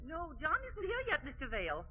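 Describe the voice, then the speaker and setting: High, fluttery mother, thin sound. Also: wildly swooping pitch, a little fast, faint music behind. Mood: flustered. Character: fluttery middle-aged mother on the telephone. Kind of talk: 1940s radio acting. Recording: thin phone-like 1940s radio recording.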